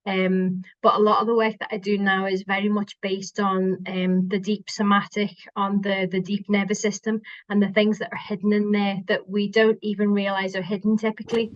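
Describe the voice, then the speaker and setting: Liverpool-accented woman, middle-aged body worker, chatty and mid-pitched, lo-fi dry recording. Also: level tone, moderate pace, few pauses, no echo. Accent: Liverpool, softened by years in Scotland. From Liverpool, England. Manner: chatty, warm, restarts sentences often. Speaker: guest, somatic body worker. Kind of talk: casual podcast chat. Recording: dry, dull lo-fi sound.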